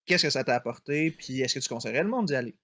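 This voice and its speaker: A man's voice: medium pitch, animated, racing, bright, forceful tone, dry recording. A man hosting a podcast, rephrasing questions precisely. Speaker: podcast host. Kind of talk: casual podcast chat.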